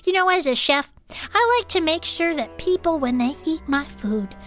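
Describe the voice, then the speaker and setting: A woman's voice: high-pitched, expressive, normal speed, fairly bright tone, soft background music. A woman playing a cheerful, food-loving chef who co-hosts a cryptid club, chatting earnestly in a comedic audio fiction show.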